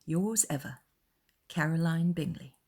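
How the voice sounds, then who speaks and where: A woman acting out a gentle young lady in a dramatized classic novel, mild and formal in manner. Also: low voice, measured pace. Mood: polite. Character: gentle, polite young lady, eldest daughter.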